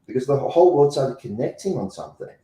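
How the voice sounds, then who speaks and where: A man's voice: medium pitch, very animated, fast, mellow, roomy sound, rough recording. A man with a South African accent, talking as a guest in an interview in an emphatic way and repeating phrases for effect. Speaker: guest, company president. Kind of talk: interview conversation.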